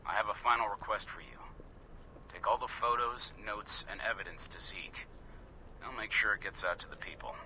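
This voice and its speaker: An actor playing a grave, principled middle-aged resistance informant in a video game, speaking solemnly and deliberately. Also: male voice, fairly low pitch, sharp tone, a little hiss or hum, low-quality recording. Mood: solemn, resigned. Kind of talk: video game radio message.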